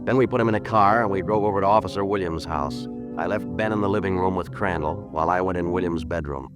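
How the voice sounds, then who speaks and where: An American man playing a terse, businesslike police sergeant, narrating a 1950s radio crime drama in a clipped, deadpan, matter-of-fact way. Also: low voice, quick pace, over music, natural voice. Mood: deadpan, methodical.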